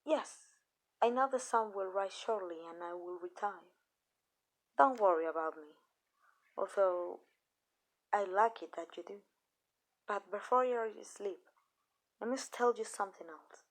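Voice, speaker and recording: A woman playing a possessive yet tender female vampire mistress in an intimate ASMR roleplay, speaking softly and deliberately with hesitant pauses. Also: mid-range voice, lightly acted, rough recording. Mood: proud, protective.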